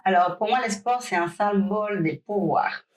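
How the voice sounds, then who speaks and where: A woman's voice: medium pitch, normal speed. Woman. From Cuba. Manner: hesitant, many fillers and restarts. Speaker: guest, pro bikini athlete. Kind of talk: podcast interview.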